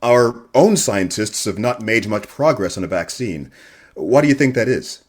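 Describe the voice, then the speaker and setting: Husky, hesitant male journalist played by an actor. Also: on the low side, expressive, moderate pace. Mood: nervous, probing. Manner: hesitant, apologetic, stammering. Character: nervous, deferential male radio host and journalist. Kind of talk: full-cast audio drama acting.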